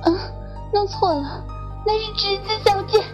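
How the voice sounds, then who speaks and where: A woman playing a deferential, timid young housemaid with a halting manner in a radio drama. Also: extremely high pitch, muffled recording, music in the background. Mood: flustered.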